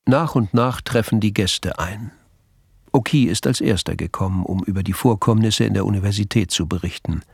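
Casting: composed crime-story narrator, husky low voice, literary and matter-of-fact delivery. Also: male voice, moderate pace, a little mellow, dry recording, clean studio sound. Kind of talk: scripted radio play narration.

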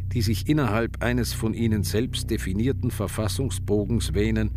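An actor playing a grave, defiant man who delivers a measured, rhetorical political speech in a radio drama. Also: lowish voice.

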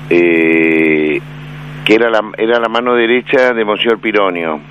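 A man with an Argentine accent, commenting on a radio interview in a measured, hesitant way with many fillers. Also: fairly low pitch, a bit bright. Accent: Argentine Spanish. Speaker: radio commentator on Vatican affairs. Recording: dull lo-fi AM radio broadcast with faint noise.

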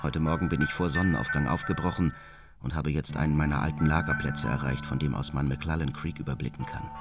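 An actor playing a solitary, reflective hermit reading his nature diary in a measured, literary way for a radio drama. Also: rumbling low, fairly even intonation. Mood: reverent, contemplative. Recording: studio voice under prominent music. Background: prominent music with mallet percussion.

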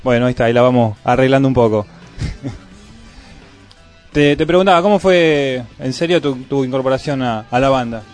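A man's voice: medium pitch, moderate pace, long pauses, a little mellow, faint music behind. A man with an Argentine accent co-hosting a radio show, interviewing a band in a joking, easygoing way. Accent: Argentine Spanish. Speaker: radio host.

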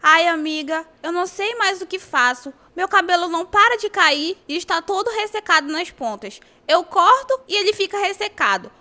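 A woman playing an excitable young girl who longs to fit in, in an amateur radio drama. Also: very high-pitched, moderate pace, few pauses, exaggerated voice. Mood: troubled, regretful. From Brazil.

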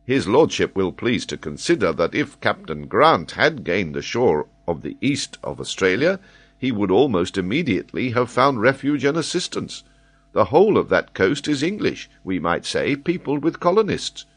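A man's voice: lowish voice, big pitch swings, normal speed, husky, voice pushed up. A man voicing an earnest young ship captain who speaks respectfully and reassuringly.

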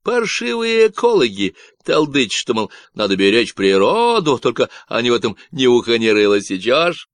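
Male narrator playing unhinged Texan on a walkie-talkie: nasal drawl, slangy, taunting, animated pitch. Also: dry recording, clean studio sound. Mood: mocking. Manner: slow, mocking slang talk.

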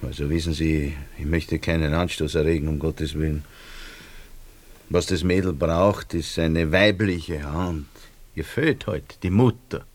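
An actor playing a nervous, self-justifying barber in a radio drama, rambling colloquially with a Viennese accent. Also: male voice, very deep voice, steady tone, unhurried. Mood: apologetic. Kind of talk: naturalistic radio drama dialogue.

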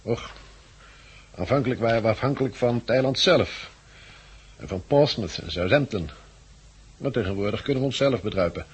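Courteous, husky-voiced male helicopter pilot. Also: mid-range voice, moderate pace, pauses often. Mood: informative, confident. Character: friendly helicopter pilot recruiting survivors for an island colony. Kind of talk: 1960s Dutch radio drama acting. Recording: muffled 1960s radio drama recording.

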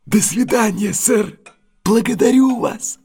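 A humble working cab driver in a radio play. His voice sits fairly high, with gravel and husk in it. He speaks haltingly and respectfully, a little wary but honest. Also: darkish tone, soft background music, full character voice. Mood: eager, obliging. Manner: servile, halting, addressing his better respectfully. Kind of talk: audio drama acting.

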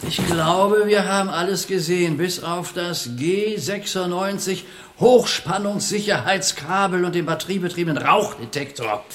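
A man's voice: high voice, moderate pace, fairly bright tone, faint music behind. An actor playing a shrewd, unflappable, coolly decisive man in a German radio drama. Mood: curious, brisk.

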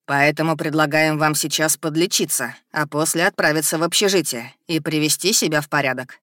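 A woman voicing an older male university rector who gives formal, commanding, measured addresses in a fantasy audiobook. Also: low-pitched, fairly even intonation, a bit bright, no room sound, studio quality. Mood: formal, proclaiming.

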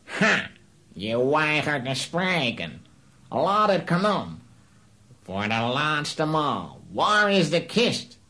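An actor playing a ruthless, commanding villain who barks threats and orders in a children's radio play. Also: male voice, on the high side, big pitch swings, extremely slow, sharp tone, exaggerated voice. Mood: threatening. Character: menacing, commanding male robber chief, ruthless villain.

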